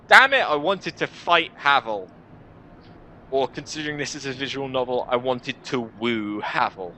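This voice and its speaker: A man reading a game's story aloud in the first person, with an expressive storytelling delivery. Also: mid-range voice, a little hiss or hum. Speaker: video game let's player reading a visual novel aloud. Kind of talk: dramatic first-person narration of game text with casual asides.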